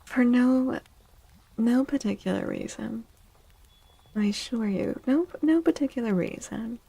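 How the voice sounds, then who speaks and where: A woman livestreamer chatting and reading tarot in a soft-spoken ASMR style, playful, teasing and mock-dramatic. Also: a little high, unhurried, gravelly, slightly rough, poor audio.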